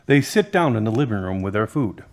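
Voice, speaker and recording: A man's voice: fairly low pitch, very animated, a little fast. A man reading the stage directions of an amateur drama in a plain, matter-of-fact way. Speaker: narrator.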